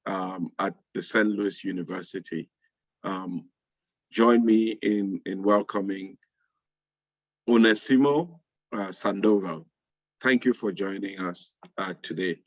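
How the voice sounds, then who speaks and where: Low-pitched man hosting, halting delivery, muffled audio. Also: steady tone, dry recording. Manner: halting, repeats words. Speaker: panel host. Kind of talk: live webinar hosting and introductions. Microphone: video call. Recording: muffled online call audio.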